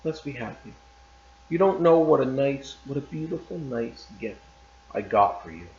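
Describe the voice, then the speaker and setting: Man reading tender young wife, earnest pleading, roomy dull sound, little lift in pitch. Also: expressive, moderate pace, gravelly, darkish tone. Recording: roomy, slightly dull recording.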